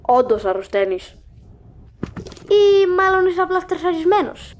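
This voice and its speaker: Woman doing chatty caring cartoon character, pretty high voice, animated dub style. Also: a little hiss or hum. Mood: concerned, light. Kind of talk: cartoon fandub acting.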